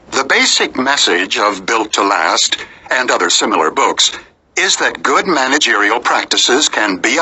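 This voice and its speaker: A man reading nonfiction as an audiobook narrator in a measured, articulate, emphatic delivery. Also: mid-range voice, pushed and bright, slightly rough, poor audio.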